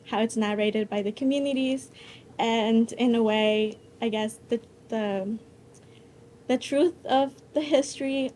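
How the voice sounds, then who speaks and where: A young woman from El Salvador answers questions after her talk, her voice sitting a little high. She speaks haltingly, with frequent ums and restarts, over a faint hum of background noise. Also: darkish tone. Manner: hesitant, many fillers and restarts. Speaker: student presenter.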